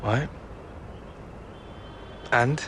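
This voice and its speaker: An actor playing a confident, easygoing young English footballer in a game. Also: male voice, drawn-out words. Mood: guarded. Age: young adult. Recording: game cutscene under loud music, city noise.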